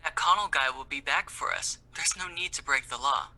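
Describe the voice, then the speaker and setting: A man's voice: fairly high pitch, moderate pace, sharp tone, slight background noise. A man playing an earnest, devoted male superfan in a comedic audio drama. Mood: reassuring.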